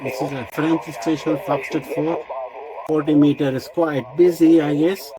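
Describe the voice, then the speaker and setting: A man casually demonstrating a product unscripted, explaining technical details in a halting way. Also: fairly high pitch, fairly even intonation, a crowd in the background. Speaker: radio reviewer and demonstrator.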